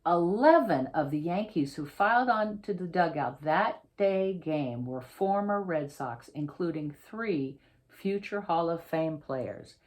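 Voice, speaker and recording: A woman with a low-pitched voice reads a picture book to children. She is an author presenting the work expressively, speaking straight to her young listeners and explaining things as she goes. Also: animated, unhurried, darkish tone. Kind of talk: picture book read-aloud with explanatory asides.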